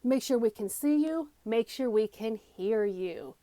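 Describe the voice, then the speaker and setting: A woman's voice: on the high side, lively intonation, unhurried, warm tone, no room sound. A woman giving a casual, unscripted tutorial in a chatty, emphatic, self-deprecating way, recorded on a headphone mic. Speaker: comedy coach, video creator. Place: small cramped room. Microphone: wired or phone headphones mic.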